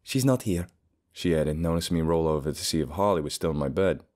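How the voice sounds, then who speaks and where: A man's voice: extremely low pitch, fast, a little mellow. A man reading fiction in audiobook style, acting out the dialogue as he narrates. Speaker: voice actor, narrator.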